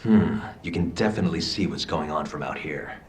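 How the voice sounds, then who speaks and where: A low-voiced, cool young detective. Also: male voice, a little fast, slight background noise. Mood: focused. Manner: composed, confident, wry. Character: cool, persistent young male private detective. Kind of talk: English video game dub acting.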